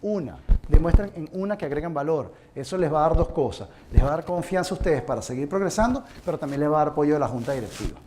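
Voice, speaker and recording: Seminar presenter, male, from Venezuela; slightly raised pitch, earnest advisory delivery over a noisy room recording. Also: lively intonation, fast talker, hardly any pauses. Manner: earnest, advising, addresses the audience directly. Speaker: conference presenter. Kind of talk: live seminar talk with audience questions.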